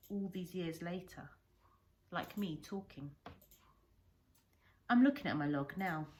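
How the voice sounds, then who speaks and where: A woman reading a storybook aloud like a primary teacher, with deliberate, expressive phrasing. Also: mid-range voice.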